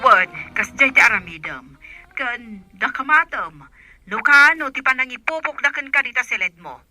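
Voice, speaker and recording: Piercingly bright, commanding aunt. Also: female voice, high voice, expressive, moderate pace, faint music behind, full character voice. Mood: urgent, instructing. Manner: urgent, instructing. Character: resolute, commanding aunt planning a rescue. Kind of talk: Ilocano radio drama acting.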